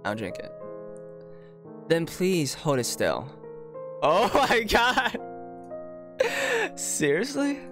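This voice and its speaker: A man's voice: a little high, wildly swooping pitch, very slow, long pauses. A man doing casual playthrough commentary on a visual novel, reading it aloud and reacting informally with amused disbelief. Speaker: streamer. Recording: music playing behind the voice.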